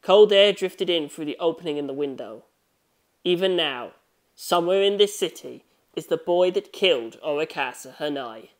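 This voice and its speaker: A narrator reading a scripted story in an expressive, measured storytelling manner. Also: mid-range voice. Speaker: audiobook narrator.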